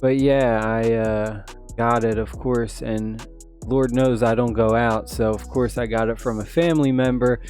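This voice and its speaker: A man's voice: mid-range voice, steady tone, unhurried, a little mellow, faint music behind. A man hosting a mobile gaming channel, still sick and losing his voice a little, rambling casually with lots of fillers. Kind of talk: casual commentary on a mobile game update.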